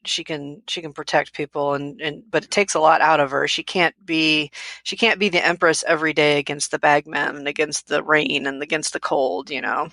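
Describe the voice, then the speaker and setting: Low-voiced woman, measured podcast talk. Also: level tone, sharp tone, no room sound. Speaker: podcast panelist. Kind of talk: casual book discussion.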